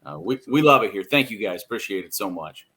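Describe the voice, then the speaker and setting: An American man, a sports broadcaster, giving casual and measured answers as a podcast guest. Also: on the low side, animated, bright, forceful tone, breathy edge, faint music behind.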